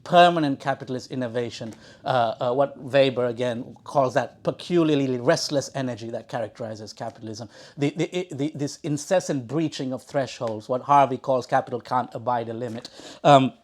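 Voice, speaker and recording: A man from Sri Lanka hosting a book talk, speaking in an erudite, wordy way with halting restarts and repeated words. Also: mid-range voice. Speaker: event host introducing an author. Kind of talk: academic introductory remarks at a live book talk. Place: talk in a bookshop before an audience.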